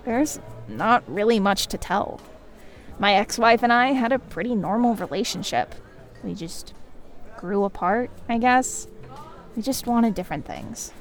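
A woman's voice: on the high side, lightly acted. An actress playing a reserved, supportive divorced woman on a first date in a naturalistic audio drama.

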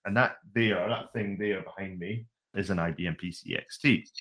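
A man's voice: low-pitched, normal speed, a bit bright. A Scottish man in his forties, a podcast co-host telling stories with wry, self-deprecating humor. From Scotland. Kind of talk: casual podcast chat. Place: home room full of vintage computers.

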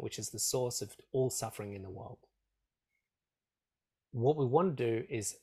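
A man with a slightly croaky throat, a meditation teacher giving earnest, measured answers in an interview and repeating phrases for emphasis. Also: mid-range voice, darkish tone. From Australia.

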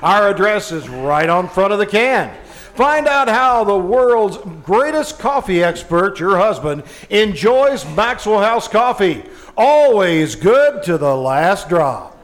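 A man's voice: high-pitched, sing-song, slow, full character voice. A man playing a cheerful 1950s radio announcer, folksy in his sales patter and mock-dramatic in his storytelling. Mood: cheerful, persuasive. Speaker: announcer and narrator. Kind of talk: 1950s old-time radio announcing and sponsor pitch. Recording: music behind, live stage radio play.

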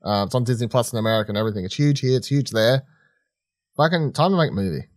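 A man's voice: mid-range voice, quick pace, no room sound, studio quality. A man co-hosting a podcast in casual banter, blunt and offhand, swearing freely. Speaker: podcast co-host.

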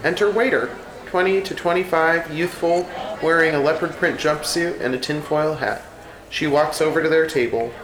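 A man's voice: on the high side, moderate pace, a crowd in the background. A man reading scripted stage directions as narrator of a comedic radio play. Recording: echoey room, clear background noise.

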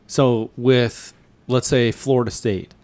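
A man's voice: medium pitch, fairly even intonation, takes it slowly, a little mellow. American man carefully asking questions. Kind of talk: casual interview conversation.

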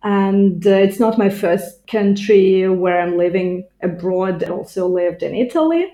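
Female podcast guest, Ukrainian accent, soft-edged mellow voice, conversational, recorded with noticeable room echo. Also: medium pitch, unhurried. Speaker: podcast guest, language teacher. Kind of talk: casual interview answers.

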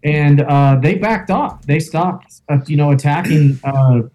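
A man with a loud voice, a guest in an interview, telling a first-person account with fillers like "um" and "you know". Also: a little high, measured pace, lo-fi sound. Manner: storytelling with fillers and reenacted quotes.

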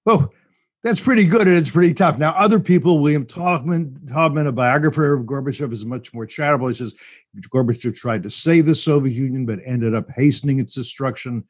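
A man hosting a talk radio interview, his voice slightly husky. He speaks in a folksy, wry way and introduces and questions his guest at an even, mid-range pitch. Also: a little fast. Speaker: radio talk show host. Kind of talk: broadcast interview.

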